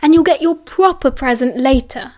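A woman voicing a noisy, cheerful, excitable teenage boy in a story, exuberant and mock-grand. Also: high voice, moderate pace, lightly acted.

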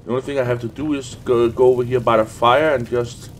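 A man's voice: medium pitch, fairly even intonation, quick pace, slight background noise. Sniffly young man commentating a game. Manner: rambling, sweary. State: sniffly from the end of a cold. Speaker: gaming video host. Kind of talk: casual let's play commentary. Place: indoors at a desk, webcam view. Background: faint game music.

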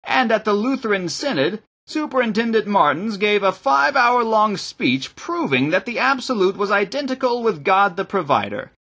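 A man's voice: sits high, huge pitch swings, measured pace, sharp tone, husky, exaggerated voice. A man voicing an irate, scornful bishop in an audiobook, snarling and barking orders and sarcastic questions. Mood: scornful tirade. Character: irate, bullying male bishop, loud and scornful.

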